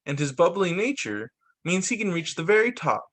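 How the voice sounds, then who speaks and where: Male teacher for children's read-aloud. Higher register, very animated intonation, expressive emphasis, slightly halting reading. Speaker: teacher reading picture books.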